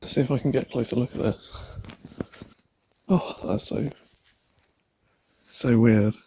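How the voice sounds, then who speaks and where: A man vlogging as he explores hills and an old quarry outdoors, talking quietly to himself and musing as he walks, picked up by a camera mic. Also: medium pitch, a little slow, slight background noise. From Wales. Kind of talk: casual hiking vlog narration.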